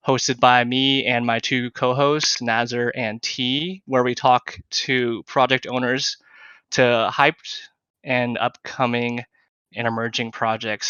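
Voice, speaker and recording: A man hosting a casual podcast over a voice call. His voice is bright, clear and smooth, and his talk is friendly and loose. The audio is dry and a little dull. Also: medium pitch, no echo. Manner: friendly, uses "yeah" and "you know" fillers. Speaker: podcast host.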